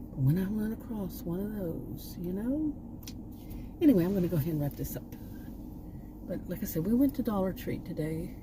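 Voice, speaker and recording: A woman vlogger chatting casually, meandering and restarting her sentences, her voice going hoarse. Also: fairly low pitch, background noise. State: losing her voice. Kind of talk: rambling casual chat. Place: outdoors.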